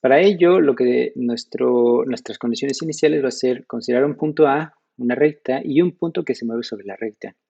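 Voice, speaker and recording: A young man from Mexico with a Mexican Spanish accent, giving a measured, teacherly slide presentation at a conference, recorded on a computer webcam in a small room. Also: medium pitch, vocal fry, mellow, highs cut off.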